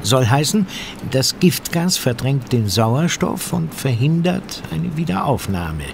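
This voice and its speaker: A radio-play fire investigator, explains in a precise, pedantic manner. His mid-pitched voice moves with animated intonation, over clearly audible background noise. He sounds sure of his expertise. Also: slow pace, full character voice. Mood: matter-of-fact, instructive. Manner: precise, lecturing, technical. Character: male fire investigator, factual, pedantic, self-assured expert. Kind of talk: German audio drama acting.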